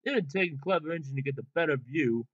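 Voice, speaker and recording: A man's voice: fairly high pitch, lively intonation, fast talker, lo-fi sound. A man voicing a proud, earnest, easily ruffled little tank engine in a children's story. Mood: amused.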